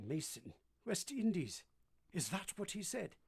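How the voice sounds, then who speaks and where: Casting: brooding lord of the manor, abrupt and commanding, lively swooping delivery, vintage radio drama. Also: male voice, high voice, unhurried, gravelly, slightly rough, exaggerated voice. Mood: shaken, pleading. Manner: imperious, abrupt, urgent. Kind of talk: old-time radio drama acting.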